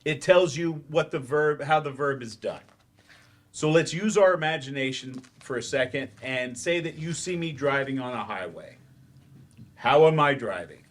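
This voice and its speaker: A man acting in a staged play reading as a patient, slightly awkward English teacher who explains in measured steps with hesitant asides. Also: mid-range voice, lively intonation, lightly acted. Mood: instructive.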